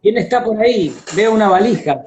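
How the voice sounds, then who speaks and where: An Argentine man hosting a casual live-stream interview, speaking haltingly and circling back over his phrases. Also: sits high, expressive, darkish tone. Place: live video call on social media. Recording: dull, lo-fi internet call audio.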